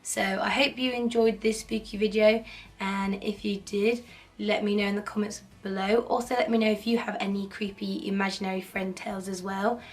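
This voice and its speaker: Hoarse woman narrating spooky tales. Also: mid-range voice, flat delivery, moderate pace. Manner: cheerful, chatty, playfully spooky. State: hoarse from a cold. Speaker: horror storytelling channel host. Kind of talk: casual spooky story narration. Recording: slightly distant mic, faint background noise.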